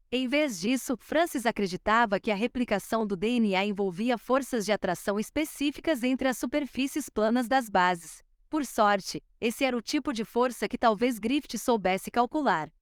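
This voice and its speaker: Woman narrator, Brazilian Portuguese accent, smooth and clear, dry close recording, steady audiobook read. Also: a little high, no echo. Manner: steady, measured reading. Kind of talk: scripted audiobook reading.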